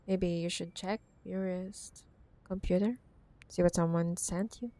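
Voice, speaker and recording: Female actor for obsessive corporate villainess ex in ASMR drama; teasing, mock-sweet menace, unhurried delivery, very dry sound. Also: lowish voice, steady tone, mellow, no echo, lightly acted. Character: possessive, menacing, sweetly condescending corporate CEO ex-girlfriend. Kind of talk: roleplay ASMR audio drama.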